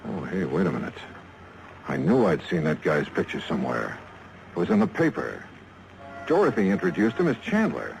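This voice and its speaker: A man's voice: low-pitched, huge pitch swings, normal speed, vocal fry, a little hiss or hum, exaggerated voice, rough recording. An American man playing a shrewd, wry private insurance investigator in a 1950s radio detective drama. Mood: alert.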